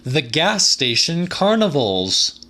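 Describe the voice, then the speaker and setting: Man putting on an excitable male acquaintance, raised pitch, animated, insistent, bright pressing tone, audiobook character. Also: takes it slowly, heavily acted. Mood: eager, insistent. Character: eager, insistent male acquaintance with an odd obsession. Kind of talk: dramatic audiobook dialogue.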